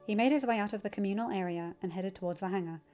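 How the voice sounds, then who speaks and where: A woman's voice: medium pitch, rapid-fire, mellow. Woman reading a story, dull lo-fi sound. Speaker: fan fiction reader. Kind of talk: scripted story narration, audiobook reading. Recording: dull lo-fi recording, music underneath. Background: background music.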